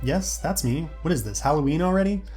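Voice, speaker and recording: A man's voice: fairly high pitch, expressive, brisk, darkish tone, pitched above the performer's own voice, close to an everyday voice. A man voicing a nervous, skeptical, wisecracking teenage schoolboy in an audio story with music behind. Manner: flustered, quipping.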